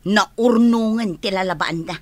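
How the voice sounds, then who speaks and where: A woman's voice: mid-range voice, unhurried, darkish tone, no echo, lightly acted. An actress voicing a defensive, complaining stepmother in a radio drama.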